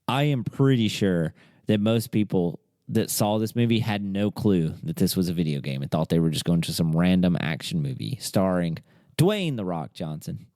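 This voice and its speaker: Male podcast host, thirties, low relaxed voice, casual conversational delivery, dry close sound. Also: moderate pace, no room sound. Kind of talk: casual chat, quizzing a co-host.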